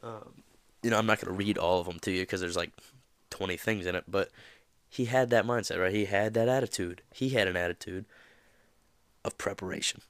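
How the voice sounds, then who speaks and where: A man with a deep, slightly nasal voice hosts a motivational podcast, nursing a mild cold. He talks casually and loosely, circling his points with plenty of fillers. The sound is dry, with no room around it. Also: fairly quick, a bit bright, no room sound. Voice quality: a little nasal. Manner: casual, rambling, many fillers. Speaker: podcast host.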